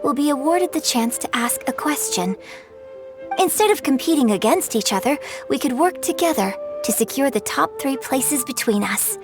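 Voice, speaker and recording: An actress playing a poised young opera singer who speaks politely and formally. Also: female voice, high-pitched, expressive, moderate pace, over music. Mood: courteous. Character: poised, courteous young woman opera star. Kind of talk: game voice acting.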